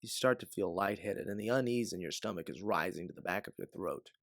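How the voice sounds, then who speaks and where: A man's voice: low voice, fairly quick, vocal fry, dry recording, clean studio sound. A man reading scripted dramatic fiction as a storyteller, narrating in the second person.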